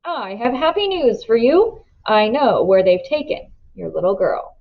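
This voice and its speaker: A woman voicing a smooth, sinister gentleman in a storybook, speaking with ingratiating politeness. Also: a little high, very animated, measured pace, lo-fi sound. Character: smooth, sinister man in a yellow suit.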